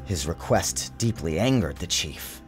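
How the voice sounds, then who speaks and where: Male storybook narrator, theatrical and expressive, swooping intonation, music underneath. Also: sits low, measured pace, husky. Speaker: author narrating his storybook. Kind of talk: dramatic scripted storybook narration. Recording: music and sound effects behind narration.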